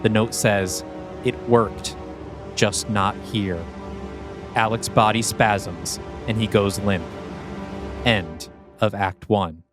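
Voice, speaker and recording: A man's voice: lowish voice, fairly even intonation, takes it slowly, pauses often. Man narrating a script over faint music. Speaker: podcast host reading a script. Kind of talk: scripted screenplay narration, present tense.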